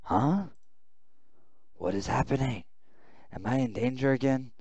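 A man voicing a weary, fearful young man who narrates his ordeal, reading visual novel text with halting, dramatic phrasing. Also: medium pitch, darkish tone, slightly rough, no room sound, highs cut off, pitched above the performer's own voice. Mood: dazed, confused.

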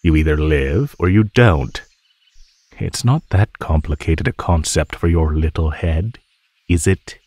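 A man voicing a teasing, menacing male naga in an ASMR roleplay, speaking in a mocking, condescending drawl with pauses. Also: rumbling low, a little mellow, slightly rough, no echo, full character voice. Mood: bored, taunting. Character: teasing, menacing male naga predator toying with captive prey.

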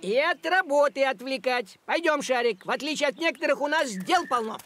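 Male cartoon cat, high voice, fussy, practical, huffy, protective, light music underneath. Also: animated, fairly quick, fairly bright tone. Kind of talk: animated cartoon dialogue.

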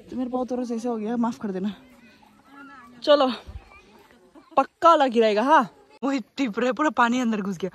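Chatty young woman vlogging outdoors. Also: high voice. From Arunachal Pradesh, India. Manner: chatty, excitable, quick asides to camera. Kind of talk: casual vlog narration. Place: outdoors in a hill village.

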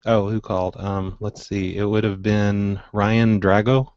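A man with a fairly low voice hosts a prank call show, talking casually and making things up with mischievous, feigned innocence. The audio is dry and a bit muffled. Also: little pitch movement, unhurried, a little mellow, no room sound. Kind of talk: casual improvised prank calling.

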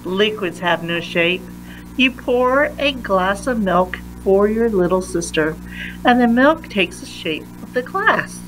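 A woman reading a children's science picture book aloud with deliberate, emphatic phrasing for young listeners. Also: medium pitch, animated, a bit bright, slight background noise, rough recording. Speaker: read-aloud reader.

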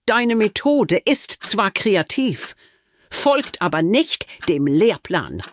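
Casting: woman as a by-the-book teacher, slow and lively in pitch, faint music. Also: dry recording, studio quality. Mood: firm. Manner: firm, instructive. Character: strict female math teacher, by the book. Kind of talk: children's audio drama.

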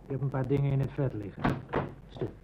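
A man's voice: medium pitch, steady tone, fast talker, lightly acted. A man in an old Dutch radio drama playing an ambitious, grumbling bank teller. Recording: muffled, noisy old radio play recording.

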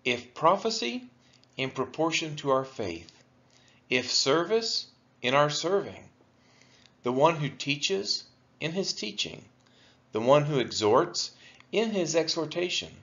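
Man reading scripture aloud, animated pitch. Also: dull audio. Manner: deliberate, phrase-by-phrase delivery with pauses. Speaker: scripture reader for a church. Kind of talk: measured scripture read-aloud.

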